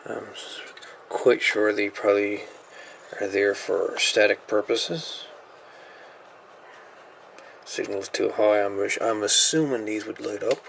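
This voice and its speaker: A man's voice: fairly low pitch, steady tone, slow pace, creaky, pauses often, fairly bright tone, a little hiss or hum, poor audio. A man casually walking through a radio gadget's insides at a workshop repair bench, laid-back and thinking aloud with mumbled asides. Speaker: radio hobbyist reviewer. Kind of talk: casual equipment teardown walkthrough.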